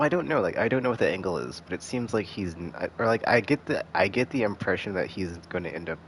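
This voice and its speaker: A man giving casual live commentary as a game streamer, rambling and halting, often restarting with "like". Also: sits low, a bit bright, slight background noise. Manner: rambling, halting, heavy use of filler "like".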